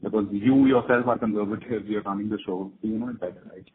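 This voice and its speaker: A man's voice: lowish voice, fairly even intonation, quick pace. A man phoning in as an investor, asking a polite, rambling question in an unscripted way. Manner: rambling, polite, frequent "you know". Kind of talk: spontaneous conference call question. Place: phone call. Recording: noisy, narrow phone line.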